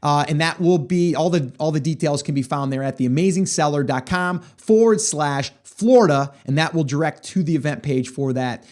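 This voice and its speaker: A middle-aged man hosting a podcast, telling a story in a chatty way with lots of fillers, his voice hoarse. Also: mid-range voice, darkish tone. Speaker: podcast host. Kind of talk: casual solo podcast monologue.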